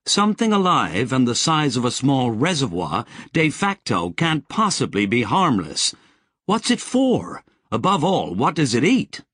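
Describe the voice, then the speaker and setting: A man's voice: medium pitch, big pitch swings, a little slow, fairly bright tone, breathy edge, no echo, studio quality, voice pushed up, full character voice. A man voicing a blunt, irritable, tough woman who snaps and growls. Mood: skeptical. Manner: snappish, growling.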